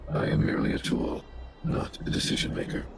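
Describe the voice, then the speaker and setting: A man voicing a big, polite, impassive robot in a video game, speaking formally through a robotic filter. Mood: flat, formal. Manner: formal, measured, emotionless. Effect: robotic synthetic voice filter. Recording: game music behind. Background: game music.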